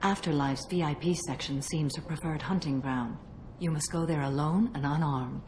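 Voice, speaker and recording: A woman playing a solemn, ancient alien warrior in a video game, speaking in a measured, formal, grave manner. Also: low-pitched, slight background noise, natural voice. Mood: grave, intent. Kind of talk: video game cutscene acting. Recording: echoey hall, loud music behind.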